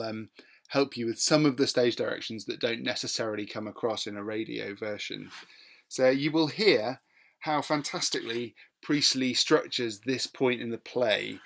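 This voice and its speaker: A man with a British accent speaking as a teacher and podcast host, explaining things in a measured, somewhat hesitant way. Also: mid-range voice, vocal fry, fairly bright tone.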